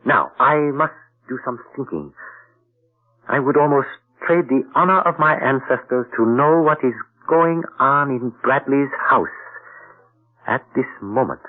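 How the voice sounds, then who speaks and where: A man's voice: medium pitch, lively intonation, unhurried, close to an everyday voice. An actor playing a shrewd, stiffly formal male detective in a 1940s radio drama, recorded as a tinny old broadcast. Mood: pondering. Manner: stiffly formal, ornate phrasing.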